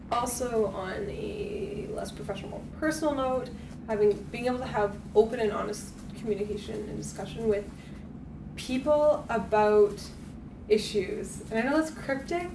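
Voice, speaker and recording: Woman speaking from afar in echoey room. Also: medium pitch. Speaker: meeting participant starting a business. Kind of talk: hesitant personal remarks in a group discussion. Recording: distant mic, echoey noisy room.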